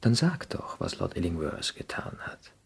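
An actor playing an ambitious, hot-headed young son, idealistic and argumentative, in a 1960s German radio drama. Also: male voice, sits low, wildly swooping pitch, normal speed, creaky, breathy edge, in a whisper, heavily acted. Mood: insistent, puzzled. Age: young adult. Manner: impassioned, argumentative.

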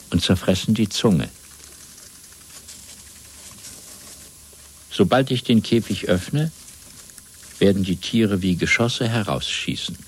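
A cold, methodical torturer of a totalitarian state, in a seventies radio drama. His voice is low and very husky. He speaks with measured, clinical menace. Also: heavily acted. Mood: clinical threat. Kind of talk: 1970s German radio play acting.

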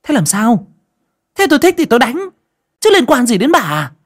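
A man's voice: very high-pitched, huge pitch swings, normal speed, fairly bright tone, voice pushed up, full character voice. A man voicing a vicious, bullying stepmother in a story, snarling and scolding coarsely. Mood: defiant rage.

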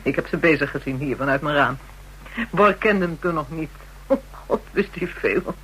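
A tough, taunting underworld woman in a radio drama, her voice low for a woman and husky. She speaks in colloquial, scornful jabs with a self-pitying edge. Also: normal speed, a bit bright, low-quality recording. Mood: amused, boastful. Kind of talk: Dutch radio drama acting.

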